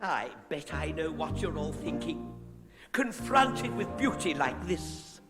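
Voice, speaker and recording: An actor playing a vain, flamboyant pantomime dame, preening slowly to the audience in theatrical style. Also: male voice, fairly high pitch, sing-song. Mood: vain, coy. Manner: preening, drawn-out, addressing the audience. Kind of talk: British pantomime stage acting. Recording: reverberant theatre, music loud behind.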